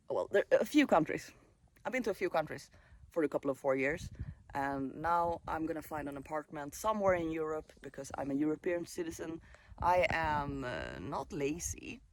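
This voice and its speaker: Brief: late-twenties man from Sweden, travel vlogger, slightly higher voice, relaxed and musing, filming on his phone outdoors. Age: late 20s. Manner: relaxed, musing, with fillers and pauses. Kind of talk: casual vlog monologue. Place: countryside caravan site.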